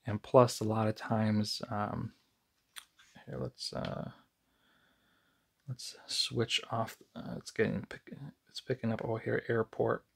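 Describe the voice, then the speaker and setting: An American man giving a casual, unscripted product review in a conversational, somewhat halting way, with a gravelly, husky voice. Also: fairly low pitch, flat delivery.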